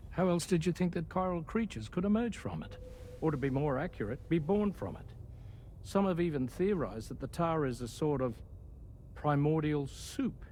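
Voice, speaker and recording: A man's voice: on the high side, lively intonation, normal speed, warm tone, a little hiss or hum, natural voice. A man playing a precise, coolly pedantic science officer in a video game cutscene, speaking formally and articulately. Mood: insistent, pedantic.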